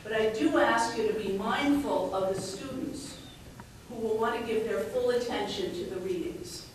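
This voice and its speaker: A woman acting as a judge gives a measured, formal welcome speech in a courtroom. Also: fairly high pitch. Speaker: chief judge giving ceremony welcome. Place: large echoey courtroom. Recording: very echoey, distant mic, faint noise.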